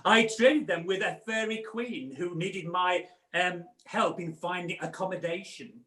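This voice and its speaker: A roomy home video-call recording of a man as a brash pantomime dame. The voice is high and unhurried, full of cheeky, theatrical patter for a woman selling potions. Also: bright, forceful tone. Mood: cheeky, bargaining. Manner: theatrical, bantering sales patter. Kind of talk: amateur pantomime acting with comic songs. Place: at home, indoors.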